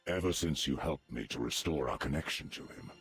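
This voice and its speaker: An actor playing a grave, devoted servant of a dark god in a fantasy game, solemn and deferential with a gravelly voice. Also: male voice, a bass voice, brisk, soft background music, heavily acted. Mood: solemn, obedient. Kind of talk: scripted fantasy game dialogue.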